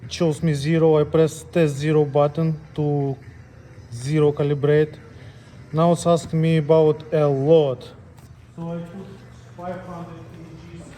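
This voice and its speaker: A man giving a step-by-step technical tutorial as a technician, speaking plainly in short, halting phrases. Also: on the high side, level tone, warm tone, slight background noise. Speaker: technician demonstrating equipment calibration.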